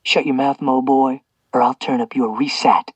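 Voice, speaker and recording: Man doing cruel male captor, venomous, slow mocking drawl, husky, dry close sound. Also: medium pitch, steady tone, no echo, recorded from a distance, natural voice. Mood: venomous taunting. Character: cruel sneering male captor, vengeful and taunting. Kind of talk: audiobook character voice.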